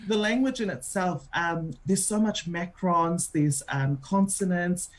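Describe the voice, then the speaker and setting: A woman's voice: lowish voice, a little slow. A woman with a New Zealand accent, a radio show host being interviewed on air, talking in a chatty way with many filler ums.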